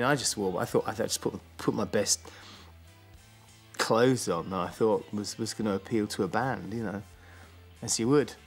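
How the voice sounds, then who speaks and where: A man, the band's singer, telling a self-mocking story in a documentary interview. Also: fairly low pitch. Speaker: band singer.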